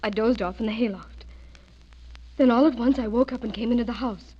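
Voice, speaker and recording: An actress as husky, high-pitched haunted young woman. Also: brisk, close to an everyday voice. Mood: dazed, evasive. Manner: hesitant, breathy, pleading. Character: frightened, fragile, haunted young woman under suspicion. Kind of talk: melodramatic radio horror acting. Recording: muffled radio drama audio.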